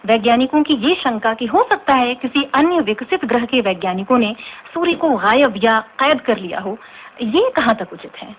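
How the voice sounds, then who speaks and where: An actress playing a friendly, curious radio host, asking polite, articulate questions in a scripted drama interview. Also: female voice, a little high, lively intonation, normal speed, close to an everyday voice. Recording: tinny, band-limited, noisy radio recording.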